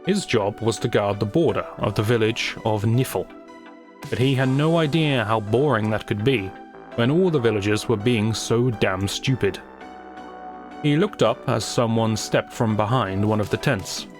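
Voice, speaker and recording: A man narrating a scripted story in a wry, theatrical manner with deliberate phrasing. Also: lowish voice. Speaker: creator and narrator. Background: music.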